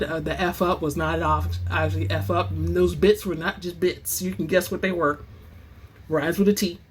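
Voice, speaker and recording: A narrator reading and presenting fiction in an expressive, theatrical storytelling manner as host of a reading channel. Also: mid-range voice. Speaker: audiobook-style reader and channel host. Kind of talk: dramatic book narration; casual chatty sign-off to listeners.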